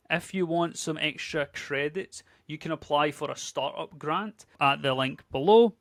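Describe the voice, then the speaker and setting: Scottish guy in his 30s, tutorial host, chatty and upbeat, bit of fry, dry close sound. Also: mid-range voice, a bit bright, no echo. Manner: chatty, upbeat, conversational with filler words. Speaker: tech YouTuber. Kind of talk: casual screen-share tutorial.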